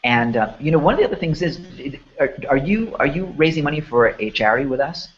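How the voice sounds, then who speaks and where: A man hosting a promotional online chat who sounds hoarse and sniffly. He talks in an enthusiastic, rambling way with many restarts. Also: on the high side, lively intonation, fast, muffled recording, a little hiss or hum. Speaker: host.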